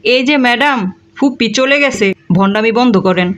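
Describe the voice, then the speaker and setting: A woman's voice: a little high, normal speed, lightly acted. A woman voicing a timid but cheeky girl cousin in a Bangla comedy cartoon. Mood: teasing.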